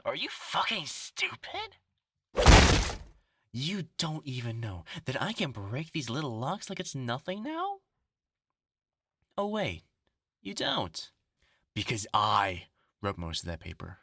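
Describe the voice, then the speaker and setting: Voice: mid-range voice, huge pitch swings, takes it slowly, pauses often, bright, forceful tone, breathy edge, heavily acted. An actor in a horror audio roleplay voicing a bitter, grandiose scientist bent on revenge, mocking, theatrical and menacing. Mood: scornful, bitter. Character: vengeful, gloating scientist turned superhuman, bitter and grandiose.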